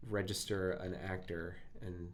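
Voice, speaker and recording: Male coding streamer, gravelly and low, phlegmy throat, halting think-aloud delivery. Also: little pitch movement, a little hiss or hum. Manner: halting, filler-heavy, self-correcting. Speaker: live coding stream host.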